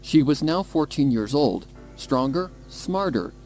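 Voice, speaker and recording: Expressive man narrating a story. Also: fairly high pitch, a little slow, faint music behind. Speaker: fan fiction narrator. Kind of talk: dramatic scripted story narration.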